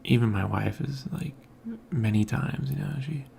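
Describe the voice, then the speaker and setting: A man with a deeply gravelly, fry-laden voice talks quietly, half-mumbling through a loose morning podcast. He speaks softly into a mic hung above his head in a home room, with a faint hiss underneath. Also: moderate pace. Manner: quiet, soft-spoken, mumbling. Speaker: podcast host. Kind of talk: casual morning monologue. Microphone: hanging overhead mic.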